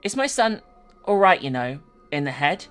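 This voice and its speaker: A woman voicing a worried, gentle mother, anxious and soothing, in a horror story reading. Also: low-pitched, sing-song, moderate pace, faint music behind. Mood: gentle concern.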